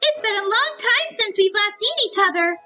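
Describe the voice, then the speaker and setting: A woman's voice: extremely high pitch, lively intonation, normal speed, sharp tone, soft background music, pitched above the performer's own voice, heavily acted. A woman voicing a cheerful, loving talking mascot with a gushing, sweet manner, in a story reading.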